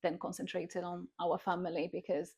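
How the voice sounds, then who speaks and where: A woman's voice: on the low side, level tone, normal speed, vocal fry, darkish tone, slightly rough. A woman from Brazil, speaking English with a Brazilian Portuguese accent and a lot of hesitant fillers in a casual chat. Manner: hesitant, with many fillers and restarts. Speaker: guest.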